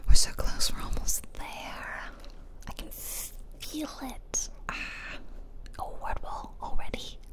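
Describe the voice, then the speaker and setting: A woman giving whispered ASMR game commentary with playful, casual asides, recorded close to the microphone. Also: slow pace. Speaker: gaming streamer. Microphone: close ASMR microphone, ear to ear.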